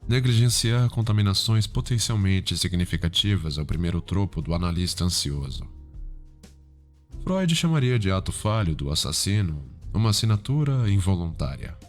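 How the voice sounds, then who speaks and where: A man with a Brazilian accent playing a calm, cultured, sinister psychiatrist in an ASMR roleplay, speaking in a formal, measured, softly probing way. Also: sits low. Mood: clinical, instructive. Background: background music.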